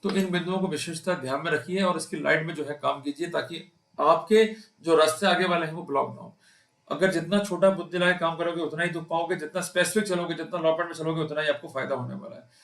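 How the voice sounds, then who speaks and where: A man's voice: fairly high pitch, quick pace, fairly bright tone. A man with an Indian accent giving an explanatory law lecture, speaking emphatically and addressing his listeners directly. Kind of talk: explanatory law lecture to camera, Hindi mixed with English. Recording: echoey, reverberant room.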